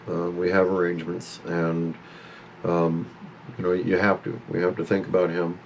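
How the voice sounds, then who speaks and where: A man's voice: deep voice, vocal fry, darkish tone, slight background noise, low-quality recording. A man in his fifties talking casually to camera at home about his pet parrot, rambling and stopping to scold the bird. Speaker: pet owner vlogger.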